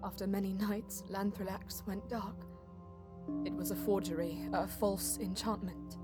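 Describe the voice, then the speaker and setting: A woman playing a proud, quick-witted elven alien heroine, narrating her story in a lofty, poetic manner in an audio drama. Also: medium pitch, fairly even intonation, natural voice. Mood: proud, wry. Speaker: first-person narrator and lead character. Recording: music behind the voice.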